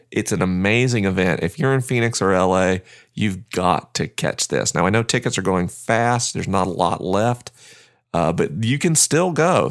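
Man, podcast host, low voice, gravelly fry, upbeat and chatty, self-deprecating, studio microphone. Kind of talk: casual announcements to listeners.

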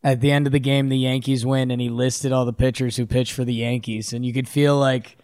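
Man, medium pitch, casual banter, slangy and joking, sports co-host, dry studio-like sound. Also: flat delivery, a little fast, darkish tone, clear, resonant tone, no echo.

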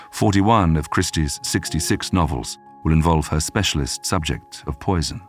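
Deep-voiced male documentary narrator over music. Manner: measured storytelling delivery. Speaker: podcast narrator.

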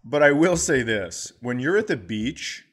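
A man's voice: mid-range voice, measured pace. Male comedian telling casual, profane anecdotes. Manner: profane, animated anecdotes with acted-out quotes. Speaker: podcast host, comedian. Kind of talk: casual comic storytelling.

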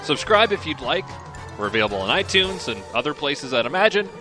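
A man's voice: fairly high pitch, big pitch swings, fairly quick, over music. A man hosting a radio sports show, asking questions in a conversational way and signing off the show. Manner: conversational, upbeat sign-off.

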